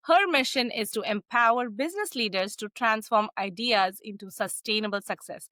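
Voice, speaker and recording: Bright, upbeat woman hosting. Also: on the high side, normal speed, no room sound, studio quality. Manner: upbeat, encouraging, affirming the guest. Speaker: podcast host. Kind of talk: interview hosting.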